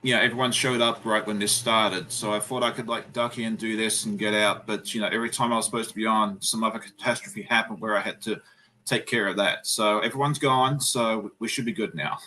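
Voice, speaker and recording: A man's voice: fairly low pitch, steady tone, a little fast, bright, forceful tone, rough recording. Australian man chatting on a video call. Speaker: guest quilter. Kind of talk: casual chat on a video call.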